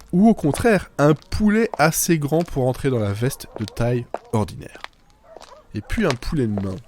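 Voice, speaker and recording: A man's voice: medium pitch, darkish tone, a little hiss or hum. A man delivering a scripted first-person monologue as a writer-narrator, whimsical and self-mocking, with playful asides. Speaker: writer narrating own fiction podcast. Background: music.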